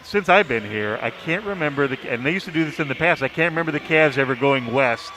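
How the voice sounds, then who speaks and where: A man's voice: fairly high pitch, lively intonation, fast talker, pushed and bright. A man discussing sports casually as a commentator, repeating phrases for emphasis. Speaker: sports beat writer and commentator. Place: sports arena. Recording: noisy arena ambience.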